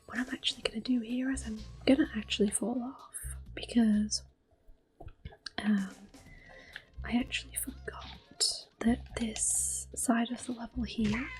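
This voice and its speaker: A woman speaking in a soft, breathy near-whisper, giving gentle, unhurried ASMR commentary on a video game. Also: a little high. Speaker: gameplay video host.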